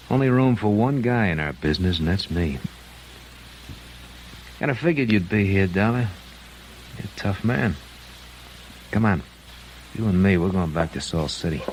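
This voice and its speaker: An American actor playing a smug, menacing gangster boss in a 1950s radio crime drama, casually taunting in slang. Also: male voice, low-pitched, a little mellow. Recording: noisy vintage radio recording.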